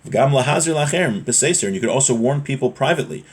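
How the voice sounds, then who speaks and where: A man's voice: mid-range voice, lively intonation, quick pace. A man giving an informal religious lesson as a teacher, reading from a text and explaining it point by point, with rhetorical check questions.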